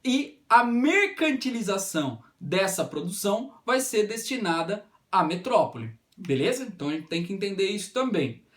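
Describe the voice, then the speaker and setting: A man's voice: sits high, big pitch swings, moderate pace, hardly any pauses, a bit bright. A man with a Brazilian accent giving an informal history lecture, explaining didactically and often adding short check-in tags. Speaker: history teacher.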